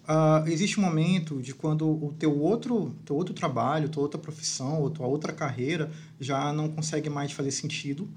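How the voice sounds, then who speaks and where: Hesitant man with a fairly high voice. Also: darkish tone, slight background noise. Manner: hesitant, many fillers and restarts. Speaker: guest psychologist. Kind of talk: casual panel talk.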